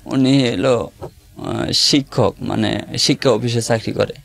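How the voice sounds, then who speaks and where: A man's voice: medium pitch, slow pace, creaky. A young man, telling his own story in a plain, hesitant way. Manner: plain, hesitant, simple colloquial speech. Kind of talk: personal testimony in an interview.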